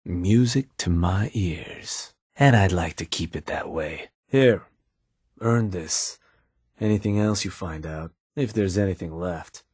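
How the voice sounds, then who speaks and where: Sly video game gang fixer, very low pitch, husky, laid-back, slangy, folksy menace, dry close recording. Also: male voice, slow pace, a little mellow, dry recording, heavily acted. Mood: sly, pleased. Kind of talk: video game character dialogue.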